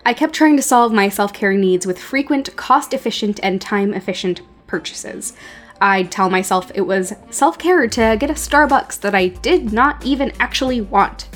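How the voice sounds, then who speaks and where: A woman hosts a podcast in a medium-pitched voice. She gives advice in a conversational, lightly scripted monologue, leaning on wry emphasis and repeated words. Faint music plays behind her. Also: animated. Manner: wry, emphatic, with deliberate repetition for effect. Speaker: podcast host giving advice to creators.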